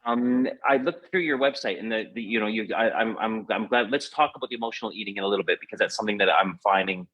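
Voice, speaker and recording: A man with a fairly low voice hosts a casual live interview. He talks informally and haltingly, often rewording his questions midway. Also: sharp tone, husky, muffled recording. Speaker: interview host.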